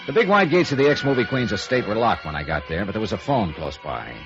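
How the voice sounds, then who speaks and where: An actor playing a hard-boiled, dry, probing American insurance investigator in 1950s radio noir, clipped and wry. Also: male voice, on the low side, very animated, fast. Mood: dry, matter-of-fact. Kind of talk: 1950s radio noir acting with first-person narration. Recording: dull vintage radio recording with music.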